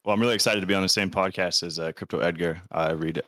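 Deep-voiced male co-host, dry sound. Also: steady tone, quick pace, a bit bright, dry recording. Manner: eager, polite questioning. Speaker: podcast co-host. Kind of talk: casual chat.

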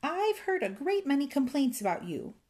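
Storybook fairy, male, played by a woman: bossy, indignant, raised pitch, emphatic scolding delivery. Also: sing-song, moderate pace, a little mellow. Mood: annoyed. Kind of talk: children's storybook character voice.

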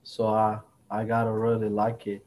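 Young Rohingya man, non-native English, slow halting interview answers, soft mellow voice. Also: fairly low pitch, monotone. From Myanmar. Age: young adult. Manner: halting, simple English with many restarts.

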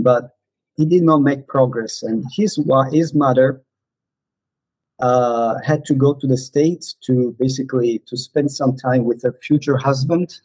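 A man in his forties, a non-native English speaker, casually reminiscing in an interview with frequent pauses and fillers. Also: medium pitch, mellow, slightly rough, no echo, rough recording. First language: not English. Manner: hesitant, many fillers and restarts. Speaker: podcast guest, former missionary.

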